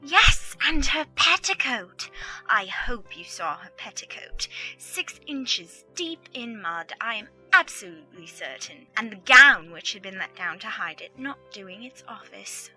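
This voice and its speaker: A woman playing a snobbish, gossipy young gentlewoman in a period audiobook drama, with haughty, exaggerated emphasis. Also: medium pitch, very animated, a little slow, husky, faint music behind, exaggerated voice, rough recording. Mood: catty disdain.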